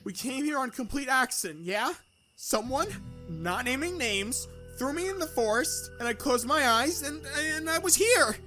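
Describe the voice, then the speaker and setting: Lady playing a gutsy little ghostly pal, high voice, blunt and jokey, chatty audio drama character. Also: expressive, normal speed, pushed and bright, faint music behind. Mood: cheeky bluster. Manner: blunt, wisecracking, casual. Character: cheeky, gutsy, talkative dead little companion.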